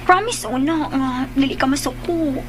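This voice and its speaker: A woman's voice: high voice, normal speed, loud music behind the voice, lightly acted. A woman playing an anxious, pleading young sweetheart in a Filipino radio drama. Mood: nervous pleading.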